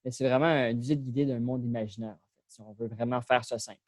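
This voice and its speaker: A man's voice: mid-range voice, fairly even intonation, fast talker, darkish tone, dry recording. A man presenting his poetry book, hesitant and full of fillers. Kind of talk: casual presentation.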